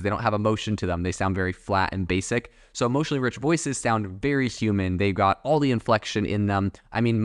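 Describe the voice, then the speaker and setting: A man's voice: lowish voice, no room sound, studio quality. A man hosting his own podcast, talking casually in a rambling way with lots of fillers and restarts. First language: English. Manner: chatty, rambling with fillers and self-corrections. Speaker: podcast host. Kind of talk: casual solo commentary.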